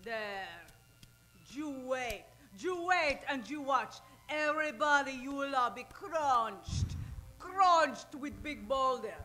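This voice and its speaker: Slow, high-voiced villainous scorpion mother. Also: animated, sharp tone, full character voice. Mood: menacing. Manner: theatrical, broken-English menace. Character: villainous talking scorpion mother, menacing, proud, exotic. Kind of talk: old-time radio melodrama acting.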